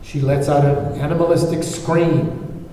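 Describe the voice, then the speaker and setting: A man's voice: a little high, fairly even intonation, unhurried, warm tone. A man reading scene descriptions and stage directions as narrator of a screenplay table read. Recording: very echoey hall, distant mic, faint noise.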